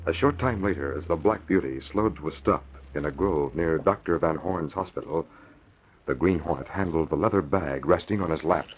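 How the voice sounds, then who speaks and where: Old timey radio narrator, deep, dramatic and formal, suspense crime show, muffled recording. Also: male voice, normal speed, slight background noise. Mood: grave, suspenseful.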